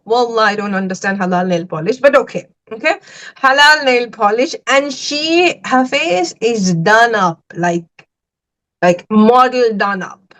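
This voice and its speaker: A chatty woman from Sri Lanka hosts a casual podcast conversation. Her voice sits a little high, and she keeps dropping "like" and "y'all" into emphatic asides. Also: a little slow, fairly bright tone. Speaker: podcast host.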